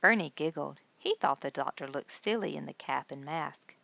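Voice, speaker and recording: A woman's voice: deep voice, lively intonation, moderate pace, vocal fry, pushed and bright, dry recording, dull audio. A woman narrating a children's storybook as a read-aloud. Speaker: storybook reader.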